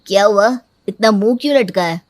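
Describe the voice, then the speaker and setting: A woman's voice: mid-range voice, brisk, no room sound, natural voice. A woman voicing a young husband with an emphatic, blunt manner in a cartoon story. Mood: supportive. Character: young husband, supportive but easily irritated.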